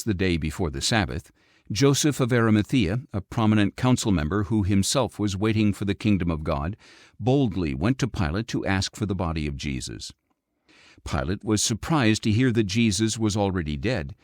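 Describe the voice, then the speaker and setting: A man reading scripture as an audiobook narrator, in a measured, expressive storytelling delivery. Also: lowish voice, dry recording, clean studio sound.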